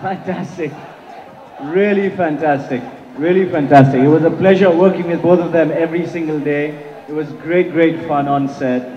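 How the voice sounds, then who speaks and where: A man's voice: on the high side, steady tone, moderate pace, a faint crowd, a little hiss or hum. A man with an Indian accent, a native Hindi speaker, giving emphatic promotional stage talk with repeated words and some Hindi mixed in. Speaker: film director. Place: large hall at a promotional event. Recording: muffled PA in echoey hall.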